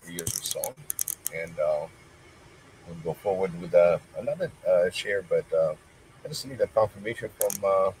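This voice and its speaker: A deep-voiced man hosts an online stream and introduces the next clip. He speaks haltingly with frequent fillers, over a faint background hum. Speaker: host. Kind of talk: livestream hosting.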